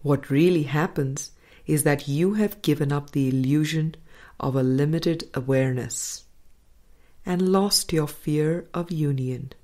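Slow, low-voiced woman reading aloud. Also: darkish tone. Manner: measured, deliberate, with phrase-by-phrase pauses. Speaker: audiobook reader. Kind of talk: reading a spiritual book aloud.

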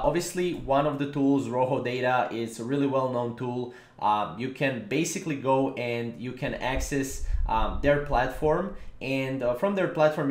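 A man hosting a casual step-by-step software tutorial, explaining in a repetitive way with frequent filler words. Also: medium pitch. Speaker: tutorial host. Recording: roomy, echoing voice-over.

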